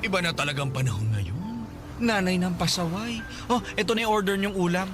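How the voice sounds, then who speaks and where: A man's voice: high-pitched, animated, fairly quick, music in the background. A man playing a sassy, sarcastic food-stall keeper with a Filipino accent in a radio drama, snide and tart. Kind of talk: Tagalog radio drama acting.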